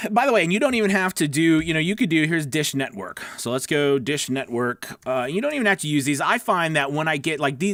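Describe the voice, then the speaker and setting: A man's voice: a little high, fairly bright tone, no echo. A man coaching voice-over in a casual, chatty tutorial style, with emphatic, reassuring explanations on a close microphone. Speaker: voice-over coach and show host. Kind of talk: casual tutorial talk with demo script reads.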